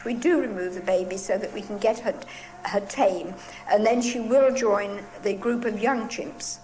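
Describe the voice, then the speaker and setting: A woman's voice: mid-range voice, moderate pace, warm tone, slight background noise, poor audio. A woman giving informal explanations as an interviewee in a radio interview. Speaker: interviewee talking about looking after chimpanzees.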